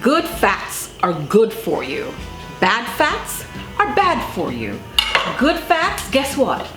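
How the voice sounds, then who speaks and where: A woman's voice: fairly high pitch, huge pitch swings, slow pace. A woman of about fifty hosting a casual cooking demonstration, chatty and emphatic, tossing questions at the listener. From Jamaica. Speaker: cooking show host. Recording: roomy echo, loud music behind. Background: music, clattering pots, stirring.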